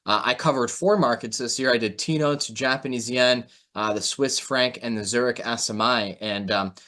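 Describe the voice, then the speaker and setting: A man's voice: medium pitch, normal speed, sharp tone. Guy hosting a markets podcast, normal midrange voice, casual chatty delivery. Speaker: podcast host and market analyst. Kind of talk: casual interview hosting with announcements.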